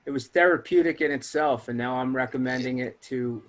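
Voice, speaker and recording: A man's voice: medium pitch, fairly quick. A man, a recovery coach and guest, talking earnestly and with energy in a casual interview. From Long Island, New York.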